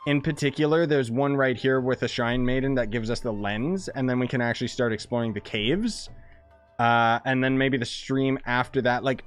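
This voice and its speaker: Chatty young man streaming a game. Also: medium pitch. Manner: chatty, relaxed, thinks aloud. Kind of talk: casual live-stream commentary.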